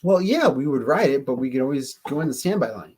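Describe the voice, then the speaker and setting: A man's voice: medium pitch, sing-song, fast talker, darkish tone, slightly rough. A man co-hosting a casual podcast chat, laid-back, often laughing and repeating his words. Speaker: podcast co-host.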